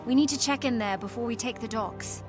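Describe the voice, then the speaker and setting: A woman's voice: on the high side, fairly quick, lightly acted. A woman playing a stern, disciplined military officer in a video game, speaking in a formal, commanding way. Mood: grave, businesslike. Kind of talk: video game cutscene acting. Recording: loud game music behind.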